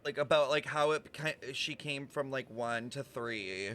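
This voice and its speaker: A man's voice: medium pitch, measured pace, a bit bright, slight background noise. Casual male game streamer, thinking aloud. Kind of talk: casual reaction commentary.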